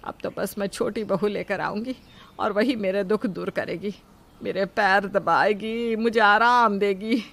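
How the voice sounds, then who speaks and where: A woman's voice: medium pitch, measured pace, gravelly, fairly bright tone. A woman playing a complaining, scheming elderly Indian mother-in-law in a cartoon story, with dramatic delivery. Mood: resentful, hopeful. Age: older. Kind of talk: acted cartoon story dialogue.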